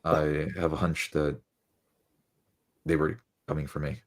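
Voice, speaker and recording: A man acts out a dwarf adventurer for a roleplay game. His voice is extremely deep and his phrasing is formal, courteous and devout. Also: level tone, unhurried. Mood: calm, grateful.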